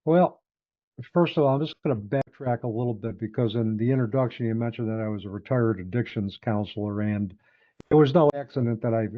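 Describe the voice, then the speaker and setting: A man in his sixties with an upstate New York accent, a guest talking through his working life in a measured, thoughtful way. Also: on the low side, no echo. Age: 60s. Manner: measured, thoughtful, with small restarts. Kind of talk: reflective interview conversation. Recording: tinny phone-like line.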